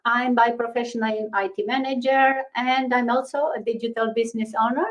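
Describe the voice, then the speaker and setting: A woman's voice: fairly high pitch, fairly even intonation, fairly quick, rough recording. A middle-aged woman from Romania speaking casually as an interview guest, telling her own story.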